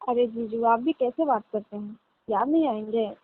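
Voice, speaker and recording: High-voiced woman on a tinny phone line. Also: normal speed. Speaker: caller. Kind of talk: casual flirtatious phone chat. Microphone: phone call. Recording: thin narrow-band phone line.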